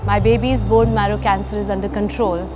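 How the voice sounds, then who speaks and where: A woman's voice: medium pitch, level tone, a little fast. A woman giving a parent's testimonial. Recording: music under the voice.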